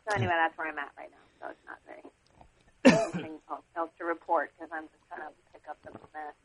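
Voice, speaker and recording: A woman's voice: low-pitched, fairly even intonation, fast. A woman on a group call, chatting in a rueful, casual way. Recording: muffled lo-fi phone line with faint hiss.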